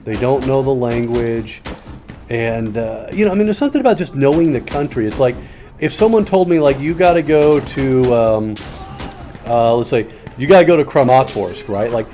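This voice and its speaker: Rambling, profane gamer man, mellow voice. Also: medium pitch, lively intonation, moderate pace. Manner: rambling, profane, reads and answers chat. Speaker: streamer. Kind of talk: casual commentary while playing a shooter game.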